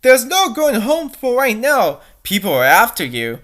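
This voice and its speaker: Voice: medium pitch, wildly swooping pitch, unhurried, fairly bright tone, pitched above the performer's own voice. A reader voicing an earnest, well-spoken cartoon rabbit who reassures a friend. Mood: reassuring. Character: wise, eloquent cartoon blue rabbit companion, earnest and reassuring.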